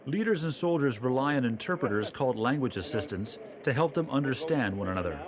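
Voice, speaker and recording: A man giving calm, scripted documentary narration. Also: medium pitch, a little fast, crowd noise.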